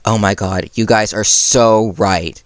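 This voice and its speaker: A man voicing a mischievous, chaotic time traveler of about thirty, informal, blunt and excitable. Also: lowish voice, slow. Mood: gleeful.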